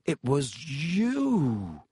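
A man's voice: medium pitch, sing-song, unhurried, slightly rough, no room sound, clean studio sound. A man voicing an earnest, blunt schoolboy hero in an audiobook. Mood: slow suspicion. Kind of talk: audiobook character voice.

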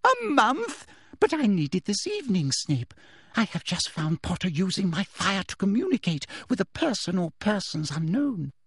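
A man voicing a falsely sweet, menacing female headmistress who turns shrill and girlish when angry, in a dry close recording. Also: wildly swooping pitch, normal speed, fairly bright tone, husky, no echo, heavily acted. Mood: shrill furious.

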